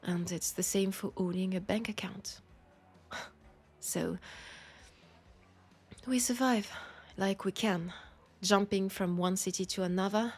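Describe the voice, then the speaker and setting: A woman with a French accent playing a seductive, world-weary female vampire in an intimate audio roleplay, speaking softly and deliberately, often slipping toward a whisper. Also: mid-range voice, husky. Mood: weary, confiding.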